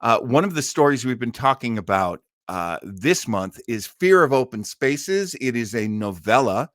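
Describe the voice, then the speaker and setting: An American man hosting a casual live stream, talking in a rambling, halting way with chuckling asides. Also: medium pitch, big pitch swings, dry recording, clean studio sound. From Maine, United States. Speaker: host of an online old-time radio listening show.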